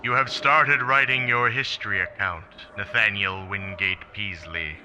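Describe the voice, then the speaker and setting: Man playing a sharp-voiced, formal alien being. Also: lowish voice, a little slow. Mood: curious, formal. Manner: stiff, literal, formal phrasing. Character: formal, grave extraterrestrial being, puzzled by humans. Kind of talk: radio play acting.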